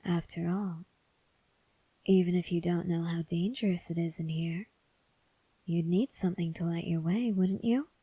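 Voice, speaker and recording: A woman playing a calm, probing female vampire in an ASMR roleplay, speaking softly and breathily with deliberate pauses. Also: on the low side, no room sound. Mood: knowing, probing. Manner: soft, breathy, measured delivery with deliberate pauses. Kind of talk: ASMR roleplay addressed to the listener.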